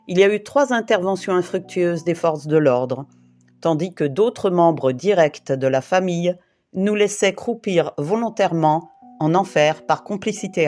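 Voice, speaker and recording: Grave woman reading a measured testimony. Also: fairly low pitch, dark tone. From Île-de-France, France. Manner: grave, deliberate, long lists of short phrases. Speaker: interviewee giving testimony. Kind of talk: prepared first-person testimony, read aloud.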